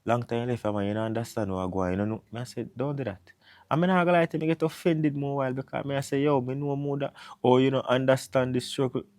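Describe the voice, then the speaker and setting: A man's voice: mid-range voice, fairly even intonation, fast, darkish tone, no echo. A man in his thirties from Jamaica with a Jamaican accent, talking casually to camera and mixing patois into his English. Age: 30s. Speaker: vlogger. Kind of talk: casual monologue.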